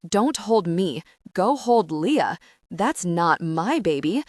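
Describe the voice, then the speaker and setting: A woman voicing a brash, dramatic young man who yells and carries on theatrically in an audiobook. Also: lowish voice, sing-song, slow pace, no echo, clean studio sound, exaggerated voice. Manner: yelling, theatrical. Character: loud, dramatic male cousin, squeamish and brash.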